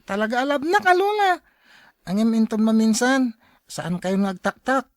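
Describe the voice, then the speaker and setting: A woman's voice: fairly high pitch, expressive, normal speed, no echo, studio quality, natural voice. A woman playing a grateful, polite grandchild in an Ilocano radio drama, with an Ilocano accent.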